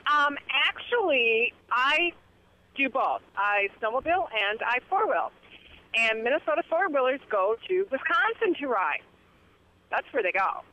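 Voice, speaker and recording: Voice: high voice, big pitch swings, measured pace, sharp tone. Casual radio caller on dull line. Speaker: radio call-in listener. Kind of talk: casual opinion call. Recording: dull lo-fi phone call.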